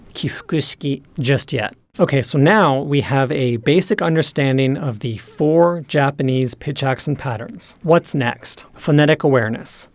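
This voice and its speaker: A man presenting a scripted language lesson, explaining in a measured way and enunciating key terms. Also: medium pitch, dry recording. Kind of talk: scripted instructional narration.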